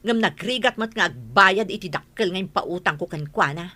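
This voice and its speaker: A woman's voice: on the low side, animated, normal speed, pushed and bright. A woman playing an aggrieved village moneylender in an Ilocano radio comedy drama, scolding and emphatic, with an Ilocano accent. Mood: worried complaint.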